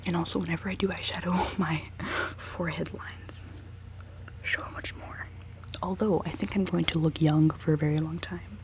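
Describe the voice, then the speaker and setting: A woman's voice: steady tone, moderate pace, noisy background. A woman in her twenties whispering a rambling, giggly ASMR chat while she does her makeup. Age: 20s. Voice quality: decently low voice. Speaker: ASMR creator.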